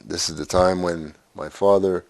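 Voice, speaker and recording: A man in his sixties from Canada, recalling his life story in a documentary interview, unhurried and halting with frequent pauses. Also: low voice, fairly even intonation, creaky, no room sound. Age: 60s.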